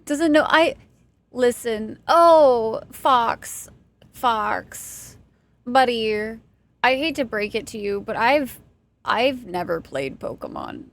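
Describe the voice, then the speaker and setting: Young texas woman streaming, chatty and jokey, laughs at herself a lot, kinda high voice. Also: lively intonation, slow, vocal fry. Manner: chatty, self-deprecating, laughs often. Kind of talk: casual chat with stream chat while gaming.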